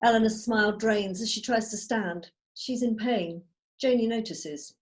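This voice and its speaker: A woman reading out screenplay stage directions as measured, storytelling narration. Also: a little high. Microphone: video call.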